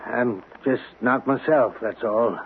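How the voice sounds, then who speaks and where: An actor playing a lonely, guilt-ridden killer in a radio drama, evasive and pleading in a halting, anguished stammer. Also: male voice, mid-range voice. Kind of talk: 1970s radio drama acting. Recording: noisy, tinny old radio broadcast.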